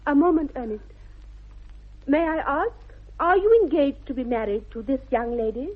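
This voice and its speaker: Actress doing a posh english girl, prim but spirited, lively high voice, tinny old radio play. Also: moderate pace, lightly acted. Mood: prim, formal. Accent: upper-class English. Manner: crisply mannered, pointedly polite. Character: spirited, prim young lady, sweetly cutting. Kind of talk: 1960s radio stage comedy acting. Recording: thin, phone-like old radio recording.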